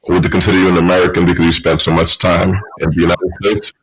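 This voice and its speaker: Brief: podcast host, man with a very low voice, asks direct, conversational questions. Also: little pitch movement, fast, husky, low-quality recording. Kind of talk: casual interview questions.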